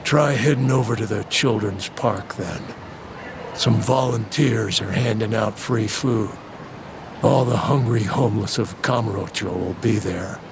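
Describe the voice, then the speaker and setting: An older street tough in a game cutscene. He is sly and offhand, drawling slowly in a creaky, gruff voice, with clear street noise behind him. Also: on the low side. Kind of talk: video game cutscene acting.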